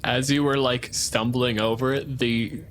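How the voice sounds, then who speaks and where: A man's voice: mid-range voice, level tone, a little slow, a bit bright, slight background noise, rough recording. A man casually narrating characters' reactions during a tabletop game.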